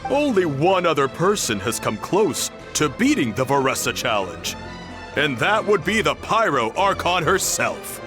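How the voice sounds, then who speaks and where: A man's voice: a little high, sing-song, unhurried, music in the background. An actor playing a jovial man hosting an eating contest in a video game, with a hearty storytelling manner. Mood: amused, explaining.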